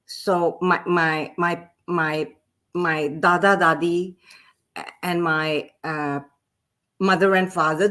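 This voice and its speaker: A woman's voice: on the low side, a bit bright. A woman in her fifties from Pakistan, a French teacher telling her life story in an interview, with frequent restarts. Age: 50s. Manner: slow, reflective storytelling with repeated restarts. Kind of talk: casual interview talk.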